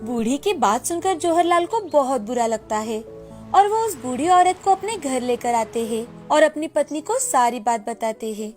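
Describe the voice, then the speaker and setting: A woman's voice: sits high, animated, moderate pace. A woman narrating a scripted storybook tale as the storyteller, with music behind her. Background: background music.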